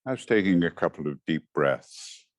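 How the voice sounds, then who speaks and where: A man leading a guided meditation as a teacher, giving gentle, measured instructions in short phrases with pauses. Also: deep voice, expressive, no echo, clean studio sound.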